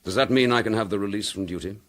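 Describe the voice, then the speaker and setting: An actor playing an accused chief inspector, dogged and defiant, speaking in a firm, measured, indignant manner in a radio drama. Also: male voice, on the low side, natural voice. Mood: confident. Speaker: police detective.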